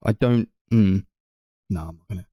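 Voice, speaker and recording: A man's voice: on the low side, moderate pace, warm tone, breathy edge, no echo, clean studio sound. A young man in casual film discussion, talking in a hesitant, hedging way as a podcast co-host.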